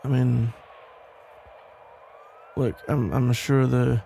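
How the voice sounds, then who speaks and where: A man playing a protective, worried police officer and ex-boyfriend in an ASMR audio roleplay, talking in a halting, casual, gently exasperated way with a gravelly voice. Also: medium pitch, a little hiss or hum. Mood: concerned, relieved. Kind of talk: ASMR roleplay, conversational one-sided acting.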